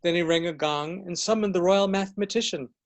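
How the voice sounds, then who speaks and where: A man's voice: sits high, fairly quick. A man narrating a storybook as part of a scripted readers theater.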